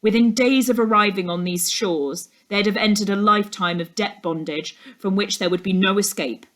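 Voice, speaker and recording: A woman's voice: medium pitch, a bit bright, close to an everyday voice. Woman reading as earnest detective uncle. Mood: earnest, proud. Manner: measured, explanatory, serious. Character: earnest, proud detective uncle, grown man. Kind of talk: storybook dialogue.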